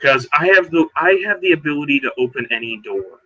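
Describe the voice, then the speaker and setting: A man with a very bright, sharp-edged voice plays a tabletop roleplaying game. He moves between casual narration and character talk, pausing and restarting as he improvises. The audio is slightly dull and lo-fi, like an online voice chat. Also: on the high side, animated.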